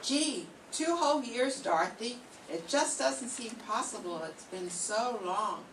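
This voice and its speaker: A woman's voice: a little high, animated, unhurried, fairly bright tone. Older woman reading a gushing young visitor. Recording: roomy classroom, far from mic, faint hiss.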